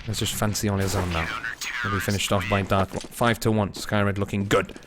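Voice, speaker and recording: A man commentating solo on a live game stream, mixing play-calling with casual chat to viewers and shifting between excited and low-key delivery. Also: brisk. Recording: noisy with game gunfire and clicks. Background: game gunfire and clicks.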